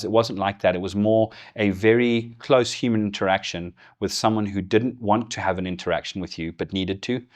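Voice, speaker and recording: A middle-aged man from Zimbabwe whose Zimbabwean accent has softened toward English, telling his story candidly as an interview guest. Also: low-pitched. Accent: Zimbabwean with English influence. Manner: candid, storytelling, laughs easily. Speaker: guest, business executive. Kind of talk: casual interview conversation.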